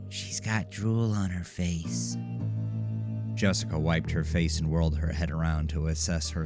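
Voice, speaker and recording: A man narrates a horror story in a low, gravelly voice. The delivery is slow, measured and dramatic, with music running underneath.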